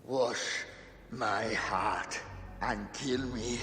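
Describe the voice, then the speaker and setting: An actor playing a crude, defiant, dying gang boss in a video game, mocking and halting. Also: male voice, lowish voice, sharp tone, slight background noise, full character voice. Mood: defiant, bitter. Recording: roomy, reverberant, faint music.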